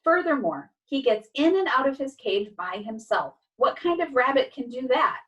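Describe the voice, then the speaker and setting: A bossy storybook tomcat, as a woman narrator plays him, speaks on the high side. He is self-important and easily exasperated, pressing each point with insistent commands. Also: sing-song, moderate pace, recorded from a distance, lo-fi sound. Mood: insistent, conspiratorial. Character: bossy, impatient, self-important male cat.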